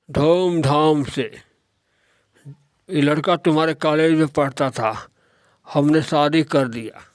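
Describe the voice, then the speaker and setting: A man's voice: a little high, clear, resonant tone, no room sound, lightly acted. A man playing an indignant father in a moral-story cartoon, scolding his married daughter with pointed questions.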